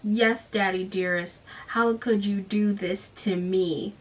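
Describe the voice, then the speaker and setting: A woman's voice: medium pitch, steady tone, slow pace, far from the mic, lightly acted. Woman reading as a bitter sarcastic daughter, cutting tone, a bit off mic.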